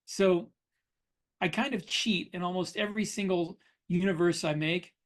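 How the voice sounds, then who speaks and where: A middle-aged man with a notably high-pitched voice for a male speaker. He talks casually as a podcast co-host, explaining his thinking and spinning anecdotes in an easy conversational style. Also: steady tone, normal speed. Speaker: podcast co-host and science fiction author.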